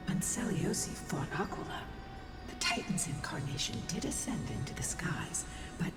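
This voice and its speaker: Breathy whispering ancient lady from a game, cool and aloof, far off, big music. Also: low voice. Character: ancient, aloof, soft-spoken wandering woman. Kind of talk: video game voice acting. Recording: distant, echoing, loud game music over it.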